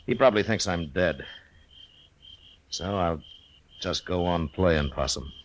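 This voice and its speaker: An American actor playing a steady, laconic lawman with dry humor in an old-time radio western. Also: low voice, measured pace, slight background noise. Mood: calm, resolute. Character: steady, dryly humorous lawman in his prime, Old West marshal.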